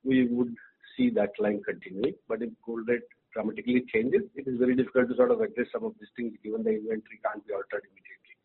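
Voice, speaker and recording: A man giving halting, careful answers as an executive on an earnings call. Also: medium pitch, big pitch swings, gravelly, husky. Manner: halting, hesitant, careful delivery. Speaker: company executive. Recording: noisy, tinny phone line.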